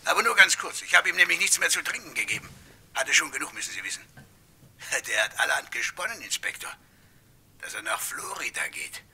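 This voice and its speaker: A man's voice: fairly high pitch, big pitch swings, a little fast, creaky, pushed and bright, slight background noise, exaggerated voice. An actor playing a chatty barkeeper turned eager witness in a German radio crime drama, hesitant and rambling as he informs. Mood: chatty, confiding.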